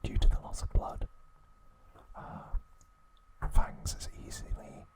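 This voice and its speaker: A man hosting ASMR, narrating a recap in a breathy, hushed whisper with short, halting phrases. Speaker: ASMR host.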